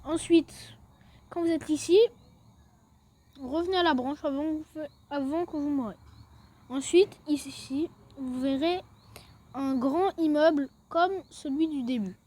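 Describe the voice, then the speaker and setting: A woman's voice: high-pitched, measured pace, mellow. A woman giving a casual, chatty step-by-step game walkthrough, often saying "then" as she moves to the next step. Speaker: gaming video creator.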